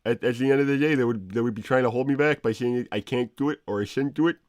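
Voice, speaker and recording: A man playing a nerdy, moralizing cartoon inventor creature in improvised banter, halting and pedantic, often hedging as he argues. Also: medium pitch, darkish tone, dry recording, studio quality, close to an everyday voice. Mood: evasive, defensive. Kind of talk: improvised in-character livestream banter.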